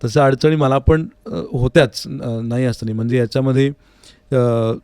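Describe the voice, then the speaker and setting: Male interview guest, music teacher. Mid-pitched, earnest and explanatory, conversational, recorded dry in a studio. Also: moderate pace, darkish tone, clear, resonant tone, no echo. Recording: dry, close studio sound.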